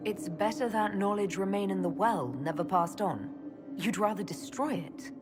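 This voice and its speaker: A woman's voice: medium pitch, normal speed, over music, natural voice. An actress playing a resolute, pragmatic elven mage leader in a fantasy game, composed and direct in her challenges. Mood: composed, firm. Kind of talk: dramatic fantasy game acting.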